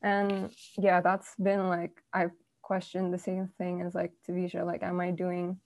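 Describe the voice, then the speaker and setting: A young woman, a university student, chatting casually with friends in a rambling way full of fillers, her voice croaky from the early morning. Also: lowish voice, completely flat delivery, measured pace, darkish tone, slight background noise. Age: young adult. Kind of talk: casual group chat.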